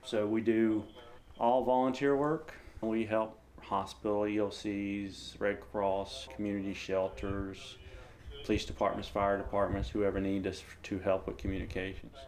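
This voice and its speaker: Low-voiced man. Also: flat delivery, measured pace, darkish tone. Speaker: amateur radio operator being interviewed. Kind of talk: casual explanatory interview.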